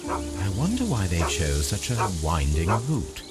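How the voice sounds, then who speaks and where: British gentleman sleuth: low, very varied intonation, precise, analytical, video game, music underneath. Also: wildly swooping pitch, full character voice. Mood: musing, analytical. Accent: British English. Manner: precise, measured, formal. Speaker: video game lead character. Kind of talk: scripted game dialogue and musing aloud.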